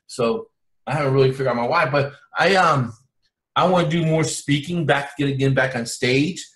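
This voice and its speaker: A man's voice: mid-range voice, normal speed, roomy sound. A man born in Cuba, talking as an interview guest in a loose, rambling way, full of restarts and fillers. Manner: rambling, animated, many restarts. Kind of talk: casual interview chat.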